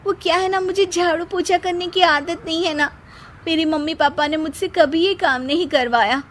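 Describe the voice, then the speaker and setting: A woman's voice: very high voice, moderate pace, pitched above the performer's own voice. A woman voicing a scheming young wife in a cartoon moral story, sweet-talking and complaining by turns. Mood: sugary, whiny pleading. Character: scheming, sugary, whiny young wife and sister-in-law.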